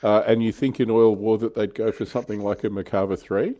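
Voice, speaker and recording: A man's voice: fairly low pitch, fairly even intonation, a little fast, dark tone. A man co-hosting a casual livestream chat, thinking aloud and explaining his speculations. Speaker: livestream co-host on tabletop wargaming show.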